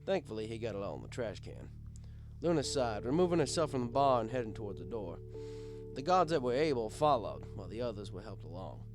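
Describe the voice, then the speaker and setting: A man's voice: medium pitch, very animated, brisk. A man narrating a first-person story as a bartender, conversational and wry. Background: guitar music.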